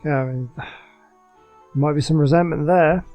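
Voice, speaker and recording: A man giving casual playthrough commentary on a video game, low-key and a little hesitant. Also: steady tone, takes it slowly, lo-fi sound, soft background music. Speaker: gaming video host.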